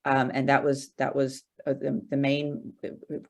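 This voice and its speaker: A woman in her forties from Arkansas, a guest in an interview, talking slowly with many fillers and restarts. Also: rumbling low, completely flat delivery, darkish tone. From Arkansas, USA. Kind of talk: casual interview conversation.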